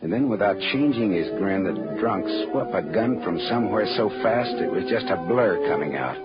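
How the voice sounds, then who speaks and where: Male actor as cynical, world-weary detective narrator, very low register, dull vintage radio sound. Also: expressive, moderate pace, natural voice. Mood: tense. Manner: sardonic, terse, world-weary delivery. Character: tough, cynical male private detective narrating his case. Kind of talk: 1940s radio drama, hard-boiled first-person narration. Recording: muffled old radio recording with loud music.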